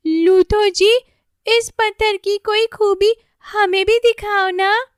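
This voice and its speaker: A woman voicing an excitable, timid young tree boy who pleads and exclaims in a children's cartoon. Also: very high voice, takes it slowly, no echo, studio quality, voice pushed up. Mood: curious, surprised.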